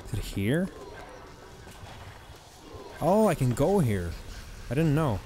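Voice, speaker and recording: A hoarse man giving casual let's-play commentary, thinking aloud as he plays. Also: medium pitch, very animated, dark tone, a little hiss or hum. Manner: slow, rambling, thinking aloud.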